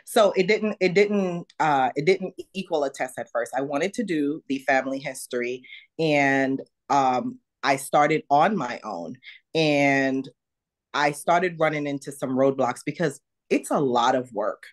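A middle-aged woman from Jamaica with a mild Jamaican accent, telling her story animatedly as a guest on a video call interview. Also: a little slow. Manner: animated, jumps high to act out her own past words. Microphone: video call webcam.

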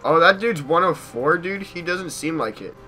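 A young American man giving casual gameplay commentary in an excitable manner, repeating short phrases. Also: on the high side, normal speed, faint music behind. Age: young adult. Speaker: gaming YouTuber. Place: small bedroom at home.

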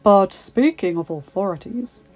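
A menacing, hulking young man from a storybook, acted by a woman narrator. He is smug and rough-voiced, drawing his words out to taunt. The audio is a little muffled, with music underneath. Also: medium pitch, expressive, darkish tone. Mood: smug menace. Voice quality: rough, rasping. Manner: slow, drawn-out, taunting. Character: menacing, huge twenty-year-old man, smug village bully.

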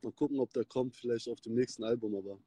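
A man's voice: mid-range voice, fairly even intonation, fast talker, slightly rough, no room sound. A man, chatting casually on a phone livestream from the back of a taxi in slangy, laid-back talk. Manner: laid-back slangy talk, drifting between loud and mumbled. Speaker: rapper hosting a livestream. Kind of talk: casual livestream chat with fans. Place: back seat of a taxi at night. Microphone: phone held close, front camera. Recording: phone audio with car noise.

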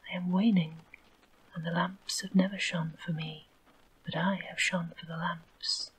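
A woman voicing the aloof waning moon in a soft whisper. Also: on the low side, flat delivery, unhurried, far from the mic, slight background noise, lightly acted, low-quality recording.

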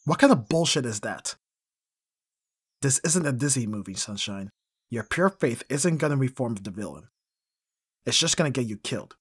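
A male actor plays a gruff, protective bodyguard in an audio roleplay. The voice is husky and raspy, recorded close and dry. He talks bluntly and guardedly, with sighs and curses. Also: medium pitch, expressive, normal speed, pauses often, dry recording. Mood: exasperated, harsh. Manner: gruff, sighing, swearing, blunt. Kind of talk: intimate ASMR roleplay acting.

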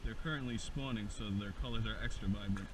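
Fishing vlogger, young man, low-ish voice, relaxed chatty commentary, outdoor action-cam audio with noticeable background noise. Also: quick pace. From Long Island, New York. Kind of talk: casual vlog narration. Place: outdoors by a pond and stream. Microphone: action camera mic.

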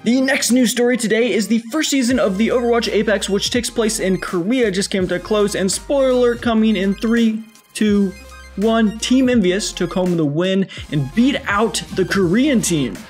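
A man's voice: sits high, moderate pace. A young man narrating scripted gaming news as a video host, casual and upbeat, stressing key words. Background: faint music.

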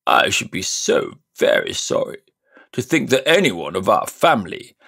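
A man's voice: wildly swooping pitch, measured pace, vocal fry, bright, forceful tone, husky, full character voice. A man voicing a pompous, cruel elderly male magician in a storybook reading, blustering and haughty. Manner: high, blustering, haughty and indignant. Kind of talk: audiobook character voice.

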